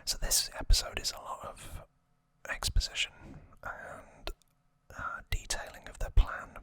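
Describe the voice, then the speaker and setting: A man whispering a casual ASMR recap in short, hushed, unhurried phrases. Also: no room sound. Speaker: ASMR host.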